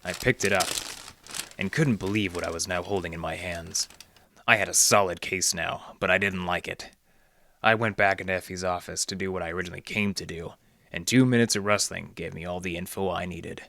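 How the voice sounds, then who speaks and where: An actor playing a wry, deadpan library detective who narrates his case in hardboiled old radio style. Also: sits low, a little fast, gravelly, dry recording, clean studio sound, exaggerated voice. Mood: tense, wry narration. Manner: deadpan, sardonic, slangy.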